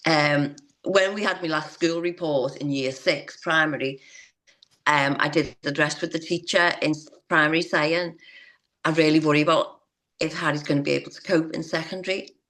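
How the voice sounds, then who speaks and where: Woman, low voice, earnest, halting speech. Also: fairly even intonation, a bit bright. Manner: earnest, hesitant, many restarts. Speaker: interview guest, parent. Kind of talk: personal story in interview.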